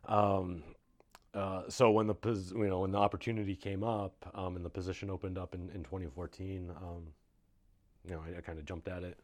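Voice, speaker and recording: A man with a North American accent speaking as an interview guest in a casual podcast, in a hesitant, halting way with many pauses. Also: low-pitched, little pitch movement, a little mellow. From suburbs of Boston, United States. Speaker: interview guest, nonprofit development director.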